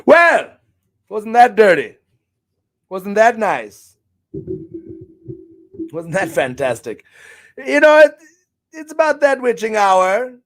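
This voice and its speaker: Man, stream host, high-pitched, gravelly, hammy, playful. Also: very animated, slow pace, pauses often. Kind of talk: casual live-stream chat.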